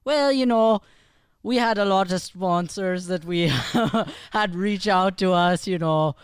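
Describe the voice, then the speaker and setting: Male co-host, casual banter, smooth voice, dry podcast sound. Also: high voice, slow pace, dry recording. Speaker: improv performer and co-host. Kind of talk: casual banter on a live show. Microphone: podcast microphone.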